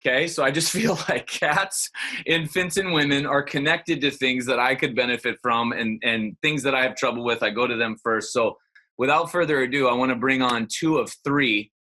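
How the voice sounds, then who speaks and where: Playful, bright-voiced male host chatting. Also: mid-range voice, moderate pace. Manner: excitable, playful. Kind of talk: casual chat.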